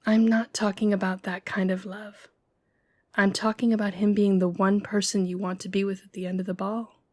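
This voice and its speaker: A woman plays a young lady-in-waiting in a romantic fantasy audio drama. She is gossipy and blunt, teasing in an informal way and quick to take offence. Her voice sits at a middle pitch in a dry recording. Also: flat delivery, a little mellow, no echo, lightly acted. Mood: indignant, candid. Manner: informal, teasing, openly indignant.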